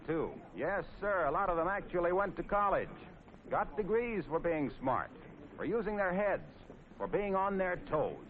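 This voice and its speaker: An American man narrating in 1940s training film style, wry, folksy and playfully sarcastic. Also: a little high. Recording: scratchy, tinny 1940s film soundtrack with noise.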